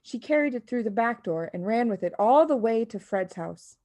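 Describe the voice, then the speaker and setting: A woman reading a children's story as an expressive audiobook narrator. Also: a little high, normal speed, no room sound. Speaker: audiobook reader.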